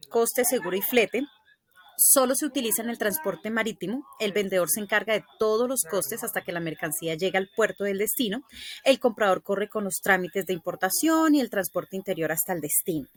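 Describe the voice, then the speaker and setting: A woman reads out an instructional script in a medium-pitched voice. Her delivery is didactic and explanatory, with an occasional stumble over the text. The sound is dry, with very little room in it. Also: no echo. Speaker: presenter explaining a lesson. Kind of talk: scripted explanatory narration, read aloud.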